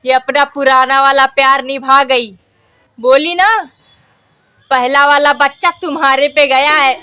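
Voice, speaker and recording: A fickle village boyfriend boasts about old love and dodges with lame excuses, as a woman puts him on. The voice is high and bright. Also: little pitch movement, measured pace, dry recording. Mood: boastful.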